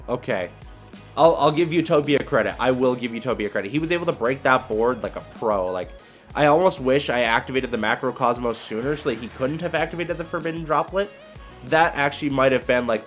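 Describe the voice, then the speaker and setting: A man giving casual gameplay commentary, thinking aloud in a hesitant, muttering way as he reasons through his moves. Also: medium pitch. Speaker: video creator and card game player.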